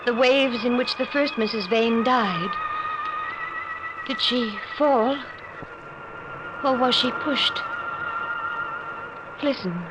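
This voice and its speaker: A woman's voice: on the high side, takes it slowly, pauses often, loud music behind the voice. A woman playing an anxious, suspicious new wife in a 1940s radio drama, speaking hesitantly and faltering over her words. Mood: frightened. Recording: noisy old-time radio recording.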